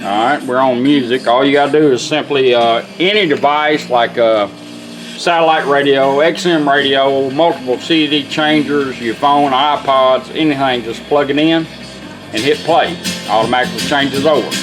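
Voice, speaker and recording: A man's voice: medium pitch, moderate pace, rough recording. A man giving a casual product demonstration in an informal, folksy way. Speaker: radio restorer demonstrating a product. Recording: radio music playing in the background. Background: music from the demonstrated car radio.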